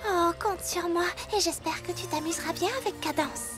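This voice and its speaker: A woman's voice: very high-pitched, steady tone, moderate pace, loud music behind the voice. A woman voicing a sweet, polite young female pony with a gushing manner in a cartoon dub. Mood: sweet, cheerful. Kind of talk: animated cartoon dub acting.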